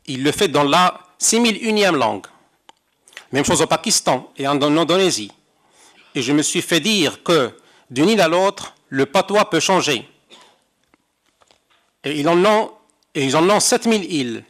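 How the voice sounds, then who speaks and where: An older man from Morocco delivers a prepared seminar talk. His voice sits a little high, and his delivery is measured and rhetorical, with ironic asides. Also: lively intonation, a bit bright. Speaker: conference interpreter giving a talk. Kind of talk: reading a prepared speech. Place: seminar hall.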